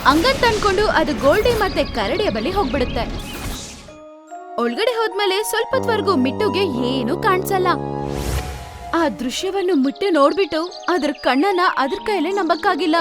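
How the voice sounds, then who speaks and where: A woman's voice: very high-pitched, animated, normal speed, loud music behind the voice. A woman narrating a scripted animal story for children in a storyteller's style.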